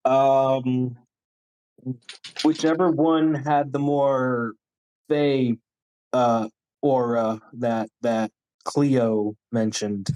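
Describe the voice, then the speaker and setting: A man's voice: medium pitch, steady tone, very slow, pauses often, darkish tone, smooth, rough recording. A man playing a tabletop roleplaying game, casually describing what his character does.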